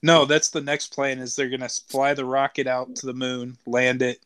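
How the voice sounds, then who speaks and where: A man's voice: mid-range voice, level tone, moderate pace, a bit bright, clear, resonant tone. A man co-hosting a podcast, talking casually with the others in the conversation. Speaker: podcast co-host. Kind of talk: casual chat.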